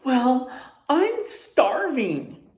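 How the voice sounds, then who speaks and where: A woman voicing a friendly, excitable male sea turtle from a children's storybook in an expressive, exclamatory way. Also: high-pitched, drawn-out words, full character voice. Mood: hungry, eager. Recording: echoey room sound.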